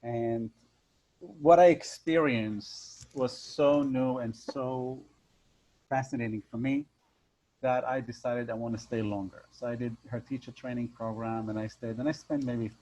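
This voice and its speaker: A man with a loud voice telling a personal story in a casual interview, acting out the voices of the people in it. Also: medium pitch, measured pace. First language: Hebrew. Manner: animated storyteller, acts out voices. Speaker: hypnotherapist guest. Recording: dull lo-fi sound.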